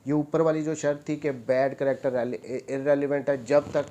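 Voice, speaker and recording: Pakistani man lecturing on law, mellow voice. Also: mid-range voice, flat delivery, moderate pace, a little hiss or hum. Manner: repeats and rephrases points, mixes English terms into his speech. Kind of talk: explanatory law lecture, reading statutes aloud then explaining.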